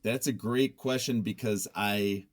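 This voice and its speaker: A man's voice: fairly low pitch, fairly even intonation, a little slow. A man being interviewed as a writer, speaking in a thoughtful, hesitant, self-effacing way in reflective conversation. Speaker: interview guest, nonfiction writer.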